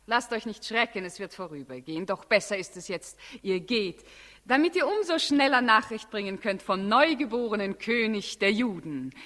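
A woman's voice: medium pitch, expressive, normal speed, a bit bright, close to an everyday voice. An actress in a 1960s radio play as a cool, shrewd, manipulative queen, speaking theatrically and with calculation. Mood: soothing, in control. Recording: 1960s radio play, long reverberant hall.

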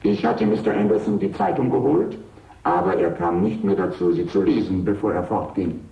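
A loyal old household robot in a radio play, with a heavily creaking voice that swings up and down. It is formal, naive and unfailingly polite, on a slightly dull recording. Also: male voice, deep voice, very animated, moderate pace, heavily acted. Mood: dutiful, polite. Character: dutiful, literal-minded old robot servant, loyally awaiting master. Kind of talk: radio drama acting.

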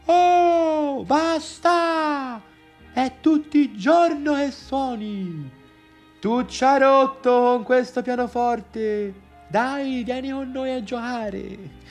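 Man putting on a shrill, bossy village boy. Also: very slow, soft background music, exaggerated voice. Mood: impatient, nagging. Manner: loud, shrill, taunting. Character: bossy, impatient village boy nagging a young pianist.

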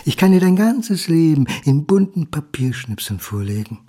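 A man's voice: on the high side, very animated, moderate pace, warm tone, breathy edge. An actor playing a lonely, hard-drinking son who speaks to his father in a sardonic, dramatic monologue. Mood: sardonic, accusing.

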